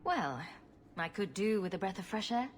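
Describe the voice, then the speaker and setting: Actress as a dry, sarcastic rogue heroine. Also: female voice, medium pitch, expressive, normal speed, close to an everyday voice. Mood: dry, teasing. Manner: dry, wry. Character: sarcastic, self-assured female rogue hero. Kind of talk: video game voice acting.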